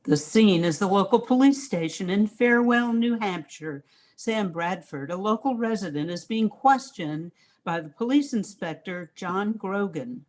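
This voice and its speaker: A woman's voice: mid-range voice, animated, measured pace, darkish tone. An older woman reading scripted narration for a radio play.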